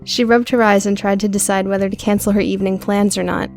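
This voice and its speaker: Woman reading an audiobook story, creaky fry in her voice, faint guitar music behind. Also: medium pitch, little pitch movement, fast, darkish tone. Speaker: audiobook narrator. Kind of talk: scripted fiction narration.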